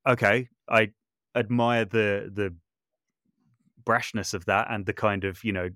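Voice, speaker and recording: A man's voice: fairly low pitch, steady tone, unhurried, sharp tone, dry recording, clean studio sound. A man speaking reflectively as an interview guest, thoughtful and often hedging or correcting himself as he goes. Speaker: podcast guest, audio drama maker and composer.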